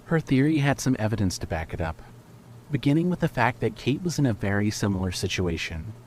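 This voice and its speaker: A man's voice: lowish voice, very animated, a little fast, a little mellow. Man narrating a story over background noise. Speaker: narrator of a fan fiction audiobook podcast.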